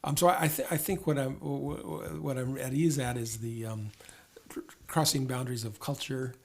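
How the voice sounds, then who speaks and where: An older man speaks in a middle-pitched voice, thinking aloud as he answers an interviewer. He stumbles often, restarting phrases and repeating small words before he finds the thread. His tone is reflective and unhurried. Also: steady tone, gravelly. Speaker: interview guest, former diplomat.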